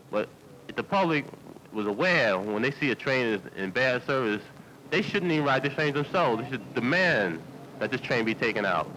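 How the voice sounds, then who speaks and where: Man, slightly low voice, insistent unscripted interviewee on old film sound with light noise. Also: fairly bright tone, rough recording. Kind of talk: spontaneous, insistent interview talk.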